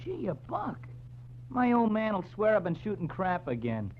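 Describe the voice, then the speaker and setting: A man's voice: high-pitched, wildly swooping pitch, normal speed, over music, heavily acted. A male performer playing a chirpy, cheeky American street newsboy in 1940s radio style. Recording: dull, noisy vintage radio, hum.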